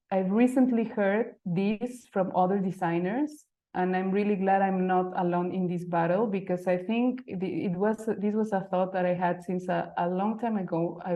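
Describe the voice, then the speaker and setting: A woman's voice: on the low side, narrow, tinny audio. A woman in her thirties from Mexico, a Spanish speaker with a Mexican accent, giving a conference talk in English about her design work, searching for words with fillers and restarts. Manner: hesitant, frequent fillers and restarts, non-native phrasing. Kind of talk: conference presentation. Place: lecture venue.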